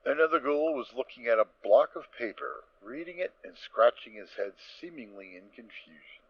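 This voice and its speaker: A male narrator reads a scripted story in a mid-pitched, very animated voice. The sound is a little muffled and lo-fi, like a home recording. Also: moderate pace.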